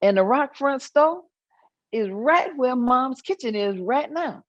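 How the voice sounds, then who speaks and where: A woman's voice: sits high, animated, takes it slowly, dry recording, highs cut off. An actress playing an elderly Southern grandmother in a staged reading, reminiscing in a folksy way with thoughtful hums. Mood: fond reminiscing. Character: elderly Southern grandmother recalling her midwife mother. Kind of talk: staged reading of oral history monologue.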